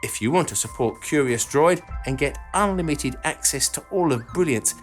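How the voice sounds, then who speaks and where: A man's voice: a little high, lively intonation, normal speed. Man narrating an explainer over music. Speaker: documentary channel narrator and host. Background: background music.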